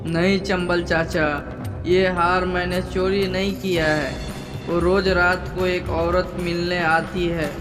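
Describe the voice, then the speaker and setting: A man's voice: sits high, flat delivery, takes it slowly, music in the background. A man voicing a timid, honest, polite young lad in a cartoon story, with heavy reverb. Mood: earnest, nervous. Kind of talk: Hindi cartoon story dialogue. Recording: long hall reverb.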